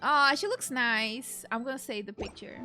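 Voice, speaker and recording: A woman's voice: on the high side, normal speed. A woman giving casual, playful reactions as she plays a video game, giggly and given to exclaiming. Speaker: let's play streamer. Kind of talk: casual gameplay reaction commentary. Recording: game music behind the voice. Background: video game music.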